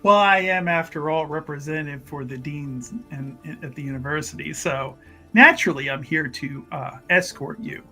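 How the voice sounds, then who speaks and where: A man's voice: a little high, normal speed, sharp tone. Man, tabletop game player, improvised in-character talk, hesitant, repeats words, roundabout, music behind.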